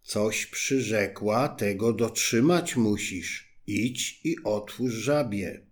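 A man voicing a stern old king in a fairy tale, speaking slowly and gravely. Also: sits low, very animated, pitched below the performer's own voice. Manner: slow, measured and grave.

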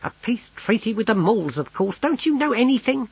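A man's voice: extremely high pitch, sing-song, normal speed, husky, dull audio, voice pushed up, exaggerated voice. A man voicing a skinny, officious little clerk who is harassed, peevish and impatient in an audiobook story. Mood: scornful.

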